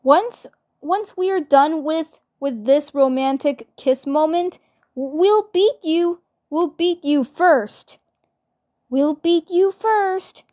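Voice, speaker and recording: An excitable, affectionate cartoon girl played by a woman in a fan dub. The voice is high and the pace slow, stumbling and repeating phrases. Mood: playful affection.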